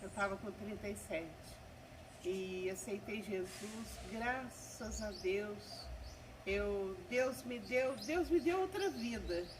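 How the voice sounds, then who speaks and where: A woman's voice: mid-range voice, slow pace, distant mic, background noise. A woman in her seventies giving an earnest church testimony, often adding "né?" and praising God, recorded on a country property. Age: 70s.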